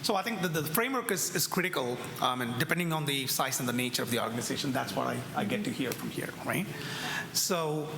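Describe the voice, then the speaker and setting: A man moderating a conference panel, halting and questioning, often restarting his sentences. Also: medium pitch, lively intonation. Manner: halting, many fillers and restarts, posing questions. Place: large hotel ballroom. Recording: echoey ballroom with clear background noise.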